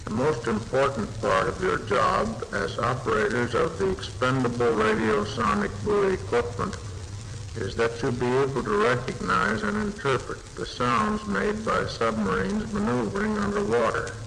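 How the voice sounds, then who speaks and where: Male narrator for vintage military instruction record: formal, didactic, high-pitched with animated intonation, slightly noisy. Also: normal speed, creaky. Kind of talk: scripted instructional narration from an old training record. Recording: old phonograph record, faint noise.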